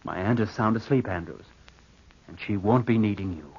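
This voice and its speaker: Low-voiced scheming nephew, vintage radio. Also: male voice, breathy edge. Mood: curt, impatient. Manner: slow, deliberate, hushed narration and hesitant dialogue. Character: scheming nephew, coldly plotting his aunt's murder. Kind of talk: old-time radio drama acting. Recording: muffled vintage radio recording with faint noise.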